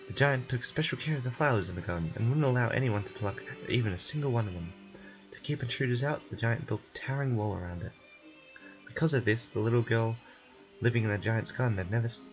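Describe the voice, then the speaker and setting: A man voicing a calm, gentle caretaker telling bedtime stories, reassuring and measured. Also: mid-range voice, lightly acted, rough recording.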